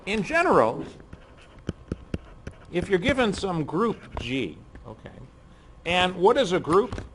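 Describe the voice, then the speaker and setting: A man with a cold gives a university lecture in a lecture room, his hoarse voice kept low to save it. His pitch rises and falls in a lively way as he explains, with slight background noise. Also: slow pace. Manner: speaks softly to save his voice, with frequent ums. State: has a cold, losing his voice. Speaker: physics lecturer.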